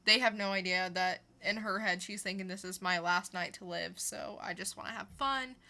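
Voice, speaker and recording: A woman's voice: medium pitch, steady tone, normal speed, sharp tone. A woman co-hosting a podcast, chatting casually through a comic book review in an informal storytelling way. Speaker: podcast co-host.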